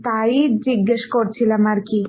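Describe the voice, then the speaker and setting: A woman's voice: a little high, little pitch movement, normal speed, darkish tone, breathy edge, low-quality recording. A woman voicing a dutiful, considerate young daughter-in-law in a cartoon story, speaking politely and a little hesitantly.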